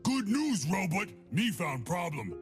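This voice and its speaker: A man's voice: high voice, sing-song, measured pace, faint music behind. A man playing a big, dim-witted, crazed brute doctor in a video game, exaggerated and speaking in broken grammar. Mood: gleeful.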